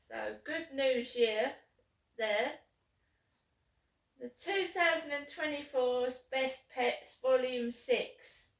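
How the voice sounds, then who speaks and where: A woman vlogs alone from her flat, her throat a little sore as she rambles slowly through a grievance with plenty of ums. Her voice rings around a bare, echoey room. She sits some way back from the mic. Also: high voice, recorded from a distance. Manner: rambling, aggrieved, with many ums. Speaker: vlogger. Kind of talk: casual chat to camera. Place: small flat, indoors.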